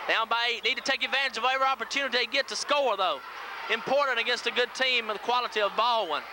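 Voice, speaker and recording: A man with a strikingly high-pitched voice calls a high school basketball game for local radio. He follows the ball in short, clipped phrases. The audio is a noisy 1980s tape, with the gym crowd clearly heard behind him. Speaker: radio play-by-play sports announcer. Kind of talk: live high school basketball play-by-play commentary. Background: loud gym crowd and noise.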